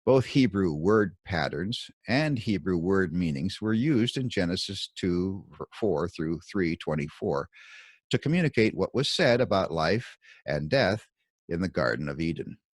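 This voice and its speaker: Male narrator for scripture commentary: lowish pitch, very animated intonation, deliberate emphatic delivery, dry recording. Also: breathy edge, no room sound. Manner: deliberate, emphatic, dramatic phrasing with pointed pauses. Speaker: author reading his own commentary.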